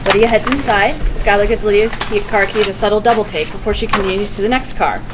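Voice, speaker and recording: A woman's voice: medium pitch, fast talker. A woman reading stage directions aloud as scripted narration at a table read. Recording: noisy recording with room ambience.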